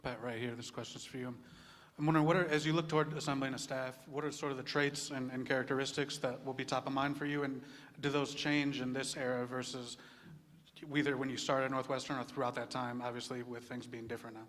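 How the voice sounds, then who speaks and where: A man, a sports reporter, asking a brisk, direct question at a press conference. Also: medium pitch, little pitch movement, fairly bright tone. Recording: long echoey hall reverb.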